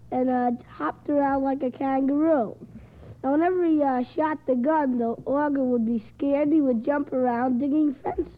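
A female quiz panelist telling an unscripted story in a hesitant, halting way. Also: sits high. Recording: lo-fi vintage radio broadcast recording.

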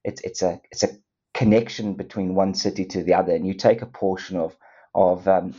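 Middle-aged South African exec, loose rambly interview talk, lo-fi audio. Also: male voice, low-pitched, steady tone, fairly quick, mellow, slightly rough. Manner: rambling, many restarts and fillers, laughs easily. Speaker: company co-founder and CEO, guest. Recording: dull lo-fi sound.

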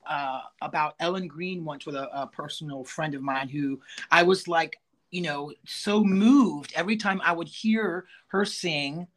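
A man with a raspy voice hosting a podcast, telling stories in an expressive, jokey way. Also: a little high, measured pace. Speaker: podcast host. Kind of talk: casual podcast chat.

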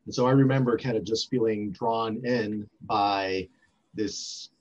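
A man's voice: fairly low pitch, measured pace. A man in his forties whose first language is French, talking as an interview guest with many fillers and restarts. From Montreal, Canada. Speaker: archaeology professor, interview guest. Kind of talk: casual interview talk. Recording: dull lo-fi sound.

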